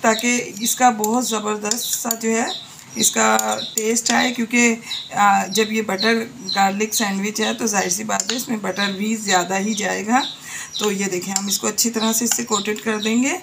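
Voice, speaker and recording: A woman's voice: medium pitch, level tone, moderate pace, creaky, background noise. A woman with a Pakistani Urdu accent hosting a home cooking tutorial, talking viewers through each step in a chatty way. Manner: chatty, repeatedly points things out to viewers. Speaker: cooking channel host. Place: home kitchen.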